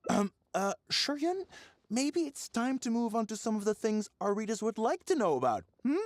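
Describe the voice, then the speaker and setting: Pushy tabloid reporter, wildly swooping delivery. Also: male voice, sits high, sing-song, moderate pace, dry recording. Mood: condescending, hesitant. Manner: theatrical, insinuating, hemming. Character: pushy, prying tabloid reporter interviewing an opera star. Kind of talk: video game voice acting.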